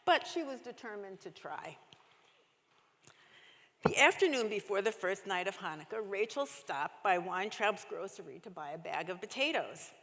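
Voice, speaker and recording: A woman's voice: medium pitch, a bit bright. A woman reading a children's story aloud expressively in a large, reverberant church. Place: large church sanctuary, indoors. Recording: echoey church hall, long reverb.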